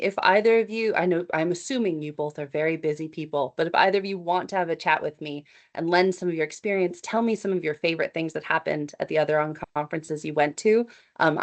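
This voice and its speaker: Upbeat woman, fairly low voice, presenting chattily on a call. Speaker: event organizer presenting. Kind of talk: online meeting presentation. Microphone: computer mic on video call.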